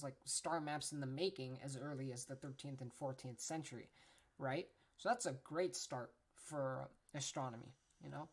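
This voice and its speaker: A woman hosting a casual educational podcast, chatty and informal, often tagging her points with "right?", correcting herself, and apologizing for her pronunciation. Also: low-pitched. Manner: chatty, tags lines with "right?", frequent self-corrections. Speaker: podcast host.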